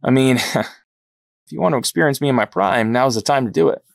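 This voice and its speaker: Cocky, regretful young hero man performing, audio drama: creaky, casual, halting delivery. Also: medium pitch, no echo, studio quality, natural voice. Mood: curious, cocky. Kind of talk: amateur audio drama acting.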